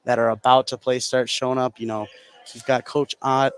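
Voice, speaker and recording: A man's voice: mid-range voice, level tone, normal speed, clean tone. An American man working as a color commentator, giving analysis during a live basketball broadcast. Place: high school basketball gym. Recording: faint gym noise behind the voice. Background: gym ambience.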